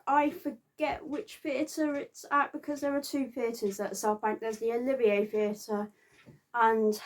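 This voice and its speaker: A woman speaks in a high voice at a slow, unhurried pace. She chats informally to her viewers like a vlogger, with a halting delivery that now and then stumbles over a word. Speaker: vlogger reviewing a theatre visit. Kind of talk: casual unscripted chat to viewers.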